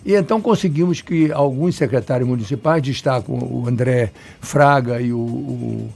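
A man's voice: mid-range voice, moderate pace, creaky, darkish tone, breathy edge. Talkative Bahian man explaining at length. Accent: Bahian Brazilian Portuguese. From Salvador, Bahia, Brazil. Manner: talkative, emphatic, digressive with restarts. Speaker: urban planner interviewee. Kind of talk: radio interview answers.

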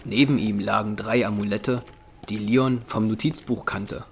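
A man narrates an audio drama from a script. His voice is on the low side, with faint noise and ambience behind it. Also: fairly even intonation, a little slow, creaky.

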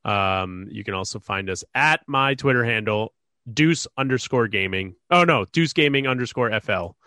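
A man's voice: on the low side, expressive, normal speed, sharp tone, no echo, studio quality. A stuffed-up man hosting a casual sports podcast, rambling with lots of fillers. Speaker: podcast host.

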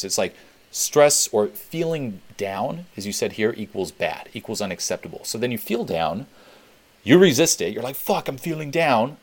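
A young man from Switzerland hosting a webinar Q&A, talking candidly and swearing freely as he repeats points for emphasis. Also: lowish voice. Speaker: webinar host. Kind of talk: casual Q&A talk.

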